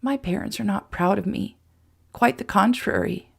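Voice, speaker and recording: A woman reading an injured, anxious young woman who speaks softly and often near a whisper. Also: mid-range voice, moderate pace, vocal fry, husky, voice pushed up. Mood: quiet, bitter. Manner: soft, strained, often whispery.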